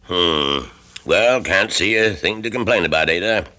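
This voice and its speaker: A man's voice: sits low, a little slow, bright, forceful tone. An actor in an old-time radio drama playing a genial, folksy male family doctor with an easygoing manner.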